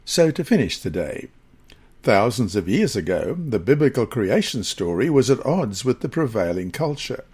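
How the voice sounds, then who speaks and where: A man with a slightly husky voice reads a scripted Bible study lesson in a measured, deliberate manner. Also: mid-range voice, very animated, darkish tone. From Australia.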